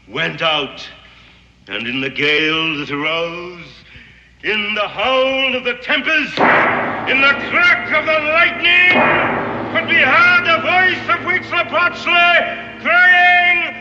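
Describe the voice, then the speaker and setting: A man's voice: a little high, animated, takes it slowly, a little hiss or hum, exaggerated voice. An actor playing a fanatical Englishman devoted to a sun god, telling a curse story in grand theatrical swings. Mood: ominous storytelling. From England. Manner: theatrical, grandiose storytelling, veering from hush to shouting. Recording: roomy, dull old TV soundtrack with reverb and music.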